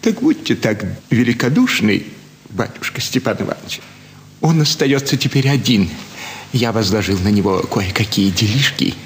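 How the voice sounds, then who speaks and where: A man's voice: on the low side, moderate pace, a little hiss or hum. An actor playing a fawning elderly provincial landowner and doting father, effusive and sentimental in a classic stage radio play. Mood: pleading. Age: older. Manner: effusive, sentimental, flattering with many sighs. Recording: roomy stage hall with faint ambience.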